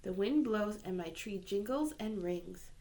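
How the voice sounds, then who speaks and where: A woman reading a children's picture book aloud in an expressive way, lifting her voice for the boy's lines. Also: medium pitch, measured pace. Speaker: reader.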